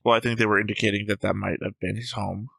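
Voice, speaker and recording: A man with a fairly low but bright voice reads methodically through his notes in a casual discussion. He stays careful and measured, and the sound is dry and close. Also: level tone, creaky, dry recording. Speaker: reaction show host.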